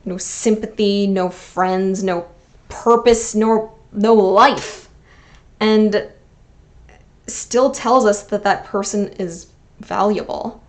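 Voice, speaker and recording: A woman's voice: medium pitch, fairly even intonation, takes it slowly. A woman in her twenties narrating a personal video essay as channel host, earnest and sentimental with wry, self-deprecating asides. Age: 20s.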